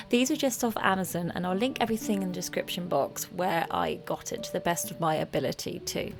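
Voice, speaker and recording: A British woman vlogging about crafts in a chatty, excitable, playful and cutesy way. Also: medium pitch. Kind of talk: chatty craft vlog with narrated voice-over.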